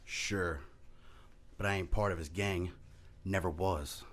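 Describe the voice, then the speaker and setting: A man voices a defiant frontier gunman for a western radio play. His American voice is deep. He talks in curt, surly phrases. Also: fairly even intonation, takes it slowly, fairly bright tone. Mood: guarded. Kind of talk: old-time western radio play acting.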